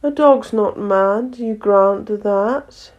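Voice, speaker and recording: A woman voicing a languid, mad, grinning cat from a storybook, with slow, drawn-out words. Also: medium pitch, phone-quality sound. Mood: languid, musing. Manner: slow, drawn-out, unhurried delivery. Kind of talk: storybook character reading.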